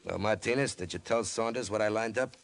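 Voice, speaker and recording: A man's voice: lowish voice, brisk, creaky, background noise. An actor playing a bullying, short-tempered crook in old radio crime drama, barking sarcastic, slangy tough-guy orders. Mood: scheming, insistent. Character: bullying, short-tempered small-time male crook plotting a kidnapping.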